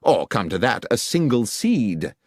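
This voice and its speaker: A man voicing a composed, brilliant Victorian detective in an audiobook, precise, deliberate and assertive as he questions. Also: on the low side, big pitch swings, darkish tone, dry recording, studio quality, exaggerated voice. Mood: pointed, incisive.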